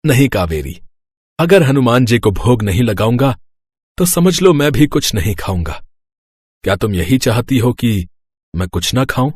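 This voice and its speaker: A man playing a devout, stubborn, gentle husband who speaks earnestly and insistently in a moral story. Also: mid-range voice, sing-song, normal speed, slightly rough, dry recording.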